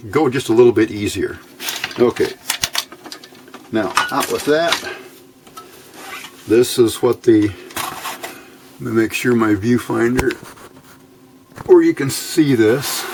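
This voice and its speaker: A man's voice: mid-range voice, noisy background. A man presenting an informal hands-on electronics build, talking in a folksy, plainspoken way as he thinks aloud.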